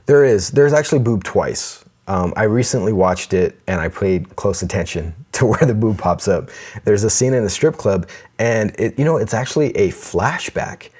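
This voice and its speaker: A man's voice: fairly low pitch, normal speed, a little mellow, slightly rough. A man co-hosting a casual podcast, chatting with enthusiasm, laughing often and reading listener letters aloud. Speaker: podcast co-host.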